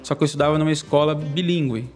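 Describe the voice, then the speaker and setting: A young man from Brazil, a podcast guest, telling his life story in a fast, slangy, candid way. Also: fairly high pitch, steady tone, darkish tone. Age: young adult. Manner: fast, slangy, candid, laughs between lines. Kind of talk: casual storytelling interview.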